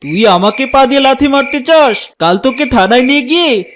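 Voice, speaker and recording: A man's voice: extremely high pitch, expressive, brisk, a bit bright, slight background noise. A man voicing an angry, threatening police officer in a children's cartoon, scolding and blustering. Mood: furious.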